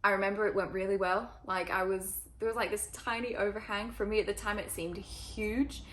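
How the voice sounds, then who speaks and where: Young woman with a slightly northern British accent. Casual, chatty vlogger voice, middle pitch, filler-heavy. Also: steady tone, fairly quick, a bit bright, a little hiss or hum. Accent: northern English-tinged British. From Switzerland. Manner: chatty, many ums and likes. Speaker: climber and video creator. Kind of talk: casual Q&A to camera.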